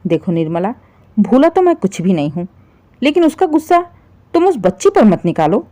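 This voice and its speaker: A woman voicing a patient older father-in-law, a gentle peacemaker who reasons and placates in a family story. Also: mid-range voice, sing-song, moderate pace, lightly acted. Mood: earnest.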